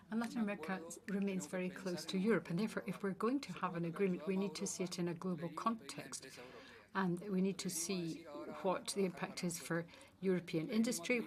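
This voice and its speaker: A woman interpreting formal meeting speeches live, in halting, phrase-by-phrase English delivery. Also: fairly low pitch. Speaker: simultaneous interpreter. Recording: loud crowd murmur behind.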